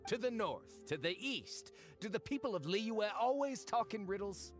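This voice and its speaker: Game role: brash money-minded adventurer, raised register, animated, impatient and sarcastic. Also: male voice, sits high, moderate pace, faint music behind. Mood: annoyed. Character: brash greedy male treasure seeker, easily annoyed. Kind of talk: English video game voice acting.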